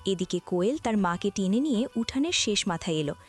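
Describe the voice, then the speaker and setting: A female narrator delivers scripted story narration. Her voice sits at a middle pitch, with music playing behind it. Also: expressive, brisk, breathy edge.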